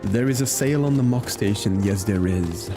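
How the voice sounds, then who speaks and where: A man's voice: fairly low pitch, normal speed. A man livestreaming a video game, chatting in an easygoing way and reacting aloud to the game and his viewers. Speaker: game streamer. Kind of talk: casual livestream chat while playing. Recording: music behind the voice. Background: game music.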